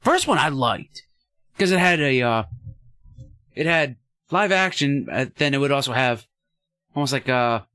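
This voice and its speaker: Blunt, joking guest, a man with a bouncy, animated delivery; dry recording. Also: medium pitch, measured pace, pauses often, no room sound. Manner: wisecracking, blunt. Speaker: podcast guest. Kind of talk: casual chat.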